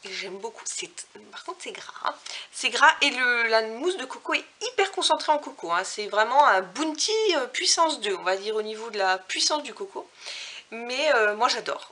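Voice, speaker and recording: A woman's voice: a little high, expressive, normal speed, pushed and bright. A young woman from Alsace chatting casually to camera, reviewing food products with lots of fillers and self-corrections. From Alsace, France. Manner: reads out ingredient lists. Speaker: vlogger reviewing finished products.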